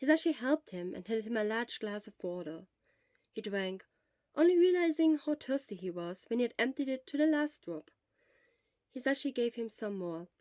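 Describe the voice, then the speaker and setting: A woman's voice: on the high side, lively intonation, normal speed, darkish tone, no echo, clean studio sound. A woman reading a scripted story aloud as a narrator. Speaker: podfic reader.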